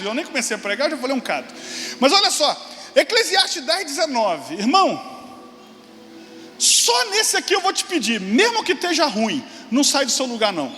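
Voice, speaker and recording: A man in his forties preaching a sermon to a congregation, with sudden high exclamations and quick asides to the listeners. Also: sharp tone. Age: 40s. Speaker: pastor. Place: large church hall. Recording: long hall reverb, faint room noise.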